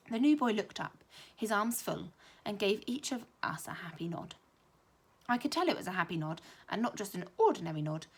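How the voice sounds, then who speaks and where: A young woman, a primary school teacher, reading a children's novel aloud with lively expression. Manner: lively storybook reading, higher and louder for children's lines.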